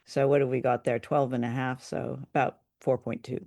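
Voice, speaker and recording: A woman co-hosting a conversational review show, speaking in measured, hesitant phrases. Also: very deep voice, level tone, warm tone, no room sound, studio quality. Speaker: podcast co-host. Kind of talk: conversational radio drama review.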